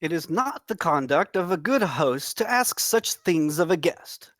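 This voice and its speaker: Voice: medium pitch, animated, measured pace, fairly bright tone. Actor doing a polite stiff janitor servant, long-suffering and formal, no room echo, comedy table read. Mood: polite, firm.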